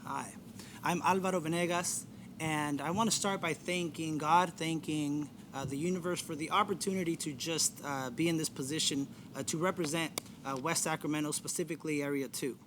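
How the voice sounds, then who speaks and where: A man running as a candidate, giving a halting opening statement on stage with many fillers. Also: a little high, steady tone, background noise. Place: event stage.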